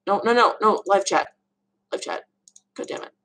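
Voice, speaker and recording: A young woman with a low voice, hosting a casual live Q&A stream from her room at home, chatty and rambling. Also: moderate pace, a bit bright. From Arizona, USA. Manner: chatty, rambling, laughs often. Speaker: livestream host answering viewer questions.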